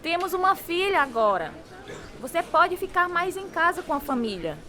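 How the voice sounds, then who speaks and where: A woman's voice: very high-pitched, very animated, normal speed, pushed and bright, some chatter behind, exaggerated voice. A woman acting in a radio drama as the worried, pleading wife of a violent drinker. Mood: consoling. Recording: noisy night ambience with insects.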